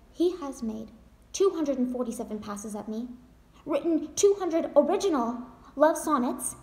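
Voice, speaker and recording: Wounded, defiantly joking wife played by a woman: high voice, emphatic stage-monologue delivery, roomy echo. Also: warm tone. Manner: emphatic, theatrical, addressing her husband directly. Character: desperate, wounded wife fighting her divorce, defiantly joking. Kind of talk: dramatic theatrical monologue. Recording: echoey untreated room.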